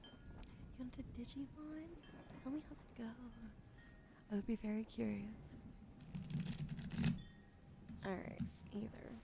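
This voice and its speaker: Woman with a gravelly whisper. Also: on the high side, slow pace, pauses often, heavy background noise. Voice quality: gravelly fry, often whispered. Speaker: streamer doing tarot readings. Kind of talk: casual ASMR chat, soft-spoken.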